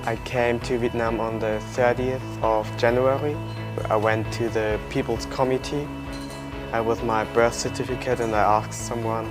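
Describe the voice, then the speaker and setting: A man in his early twenties from Germany, speaking non-native English with a German accent, telling his personal story in a halting, plain way. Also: mid-range voice, level tone. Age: early 20s. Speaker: interviewee, adoptee searching for birth family. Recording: loud music under the voice. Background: music.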